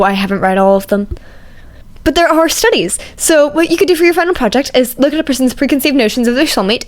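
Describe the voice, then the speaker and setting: A woman voicing an eager, earnest young man in a fan fiction audiobook, animated and whiny when pleading. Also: a little high, pitched above the performer's own voice, lightly acted.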